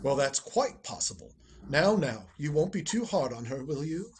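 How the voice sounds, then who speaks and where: A man playing a wry, unflappable gentleman in a radio play, dry and teasing. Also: fairly high pitch, big pitch swings, normal speed, a little hiss or hum. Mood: dry, calm.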